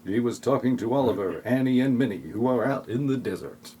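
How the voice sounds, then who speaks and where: A man's voice: mid-range voice, animated, fairly quick, dark tone, slightly rough. A man narrating a comic parody audio play in a wry, mocking manner.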